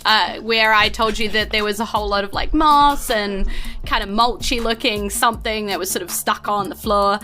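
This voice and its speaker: A woman's voice: medium pitch, fairly even intonation, moderate pace, bright, forceful tone, soft background music. A woman with a New Zealand accent, casually narrating and refereeing a tabletop roleplaying game as game master.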